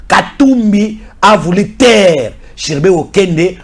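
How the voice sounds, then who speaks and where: A man's voice: sits high, lively intonation, takes it slowly. A Congolese man in a heated political talk, speaking emphatically and insistently with many repetitions. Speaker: political commentator. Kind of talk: heated political talk mixing French and Lingala.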